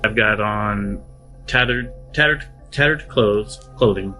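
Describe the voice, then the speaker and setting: A man player describing his character haltingly, repeating words as he goes. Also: lowish voice, sharp tone, faint music behind, rough recording.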